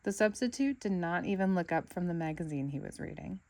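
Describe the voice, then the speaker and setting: A woman narrating a children's picture book aloud with expressive, dramatic storytelling. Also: lowish voice, a little fast, dry recording. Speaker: storybook reader.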